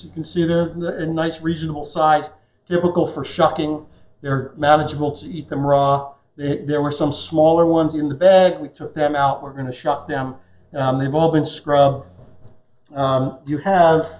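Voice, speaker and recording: A man's voice: fairly high pitch, a little mellow. Joking middle-aged man, echoey kitchen sound. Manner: joking, conversational. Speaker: chef and cooking show host. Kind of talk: casual live cooking demonstration. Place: home kitchen, indoors.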